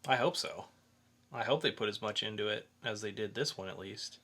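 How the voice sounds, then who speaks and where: A man's voice: fairly low pitch, a little fast, sharp tone. A man co-hosting a podcast, chatting casually about pop-culture news and freely offering opinions. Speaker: podcast co-host.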